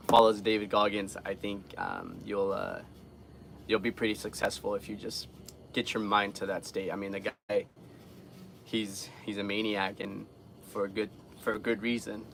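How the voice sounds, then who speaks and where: Gravelly, earnest man chatting casually. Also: fairly low pitch, flat delivery, moderate pace, pauses often, a bit bright, slight background noise. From Guatemala. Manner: earnest, rambling, informal. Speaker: live streamer. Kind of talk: casual live chat with viewers.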